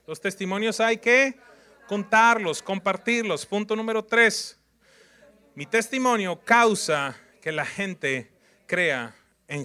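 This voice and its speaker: A man's voice: sits high, bright, forceful tone. A man preaching a sermon in numbered points, emphatic and repetitive, often putting questions to his congregation.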